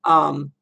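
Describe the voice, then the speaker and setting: A young woman with a low voice, hosting a casual live Q&A stream from her room at home, chatty and rambling. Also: creaky, fairly bright tone, a little hiss or hum, poor audio. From Arizona, USA. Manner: chatty, rambling, laughs often. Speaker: livestream host answering viewer questions.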